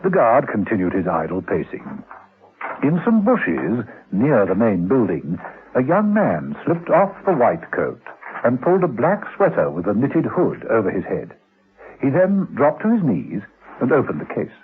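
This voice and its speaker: A theatrical storyteller on an old, band-limited radio recording. His pitch swings widely as he sets out a spy thriller with dry wit and deliberate suspense. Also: huge pitch swings, narrow, tinny audio, a little hiss or hum. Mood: suspenseful, wry. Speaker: narrator of a radio serial. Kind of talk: dramatic scripted radio narration.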